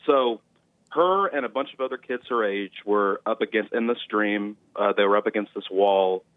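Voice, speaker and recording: A man's voice: fairly low pitch, normal speed, dry recording. A young man calling in to a talk show by phone, speaking hesitantly with lots of fillers. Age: young adult. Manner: hesitant, many fillers and restarts. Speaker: caller. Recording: tinny phone line.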